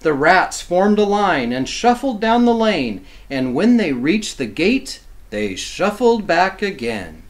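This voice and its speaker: A man reading a children's storybook aloud as a teacher, expressive, with simple explanatory asides for young listeners. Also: high-pitched, takes it slowly, hardly any pauses.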